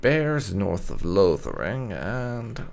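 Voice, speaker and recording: A man's voice: on the low side, very animated, slow pace, vocal fry, darkish tone, a little hiss or hum. A man giving casual gameplay commentary as a video host, laid-back and dryly joking. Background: game music.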